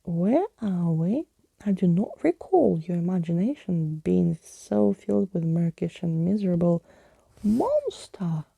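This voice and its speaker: A woman's voice: on the low side, big pitch swings, a little slow, gravelly, dark tone, husky, dry recording, lo-fi sound, full character voice. A woman voicing a courtly, jaunty living skeleton from a storybook, with quaint theatrical phrasing, in a whispered reading. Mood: puzzled. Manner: quaint, theatrical old-fashioned exclamations. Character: jaunty, courtly, easily startled living skeleton with key fingers.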